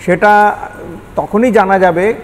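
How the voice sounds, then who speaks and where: A man's voice: high-pitched, fairly even intonation, takes it slowly. An older man with a Kolkata Bengali accent, explaining step by step as an expert interview guest and mixing in English technical terms. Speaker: expert guest, former air traffic control manager. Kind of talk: explanatory news interview answers. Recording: echoey hall with background noise.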